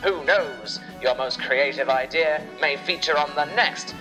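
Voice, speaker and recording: A man's voice: a little high, measured pace, music in the background, rough recording. A man giving scripted, dramatic narration of a children's adventure story.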